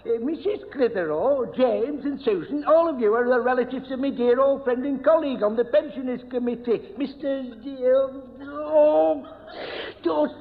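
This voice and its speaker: A man's voice: very high voice, moderate pace. A man playing a doddery, forgetful old pensioner, politely fussy and dithering, in a 1970 radio sitcom. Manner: dithering, polite old-fashioned phrasing, loses his thread. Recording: tinny, noisy old radio recording, hall reverb.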